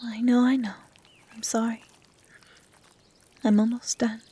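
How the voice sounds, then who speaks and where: Hushed, gentle young dryad woman voiced by an actress. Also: a little high, little pitch movement, a little slow, noisy background, natural voice. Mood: tender, caring. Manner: hushed, breathy, caring delivery, often near whispering. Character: gentle, curious young female dryad, lonely forest healer. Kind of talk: soft, intimate ASMR audio roleplay.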